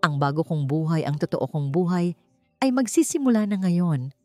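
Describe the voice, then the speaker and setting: A woman's voice: fairly low pitch, moderate pace, warm tone, lightly acted. A woman narrator plays an arrogant businessman husband in a storybook romance. The cruel, scornful speech is declamatory and emphatic, with music underneath. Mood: scornful, declaring. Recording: music behind the voice.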